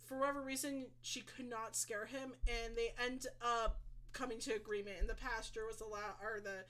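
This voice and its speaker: A woman's voice: fairly high pitch, steady tone, normal speed, fairly bright tone. A woman co-hosting a casual podcast chat, talking in a rambling, blunt way and laughing as she goes. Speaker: co-host presenting the topic.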